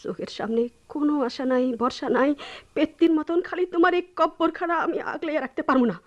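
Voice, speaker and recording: অভিনেত্রীর কণ্ঠে চড়া গলার মুখরা গ্রাম্য বউ. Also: female voice, sits high, fast, highs cut off, natural voice. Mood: bitter, defiant. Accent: rural Bangladeshi. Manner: scolding, stubborn. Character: fed-up, sharp-tongued poor village wife. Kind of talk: Bengali radio drama acting.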